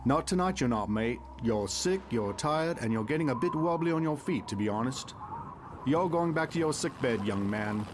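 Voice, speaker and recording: A man's voice: medium pitch, expressive, normal speed, natural voice. Man as firm, no-nonsense hero, noisy backdrop. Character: firm, unimpressed male superhero scolding a youngster. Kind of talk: motion comic voice acting. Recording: clear background noise.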